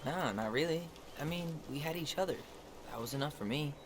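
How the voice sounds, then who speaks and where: An actor playing a guarded, dry-witted young American brother in naturalistic video game dialogue. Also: male voice, on the high side, lively intonation, fairly quick, close to an everyday voice. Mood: wistful, easygoing. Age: young adult. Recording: noisy ambient background.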